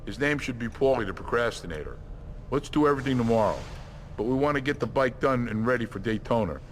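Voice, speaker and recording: A man's voice: medium pitch, expressive, quick pace, slight background noise, close to an everyday voice. An actor playing a fed-up workshop boss in a video game, blunt and scolding in his way of talking. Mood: exasperated.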